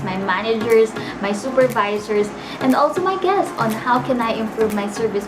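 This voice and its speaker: A young woman with a Filipino accent, telling her own work story in an earnest, chatty way, full of fillers. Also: a little high. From Philippines. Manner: earnest, chatty, many fillers like "you know" and "like". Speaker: vlogger. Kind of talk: casual personal storytelling. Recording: music playing underneath.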